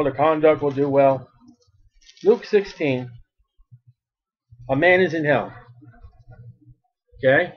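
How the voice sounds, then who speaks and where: A man preaching a sermon as a street preacher, with a big loud voice and emphatic delivery that swells in volume. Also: fairly high pitch, slightly rough, highs cut off.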